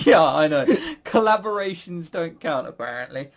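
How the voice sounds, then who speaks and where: Male quiz host, slightly high voice, casual banter with a playful, needling edge. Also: animated, takes it slowly. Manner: teasing, jokey.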